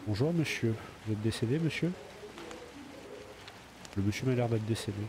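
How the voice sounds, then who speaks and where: A man's voice: lowish voice, lively intonation, normal speed, background noise. A man with a slight cold, chatting casually to himself while playing a video game. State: a bit of a cold. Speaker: let's play gamer. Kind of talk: casual gameplay commentary.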